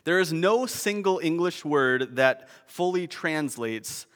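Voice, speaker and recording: A man's voice: on the high side, expressive, slow, a bit bright, big-room sound. A man preaching a sermon, explaining a word's meaning with nerdy, self-deprecating asides. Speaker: pastor.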